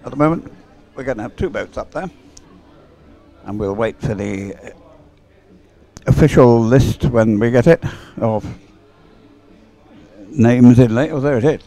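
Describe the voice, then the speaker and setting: A man's voice: medium pitch, measured pace, long pauses, warm tone. A man giving live, unscripted sports commentary on a race in an informal, hesitant way, as if thinking aloud.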